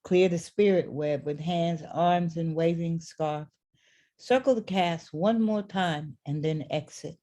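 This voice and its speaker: A woman narrates a play's stage directions from a script. Her voice is on the low side, and she takes it at a very unhurried pace. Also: mellow.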